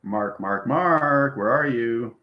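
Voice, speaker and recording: A man's voice: medium pitch, expressive, slow, highs cut off. A man giving an informal live lesson to viewers as an online teacher, repeating himself and often checking in with "okay" and "right". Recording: roomy, echoing room sound.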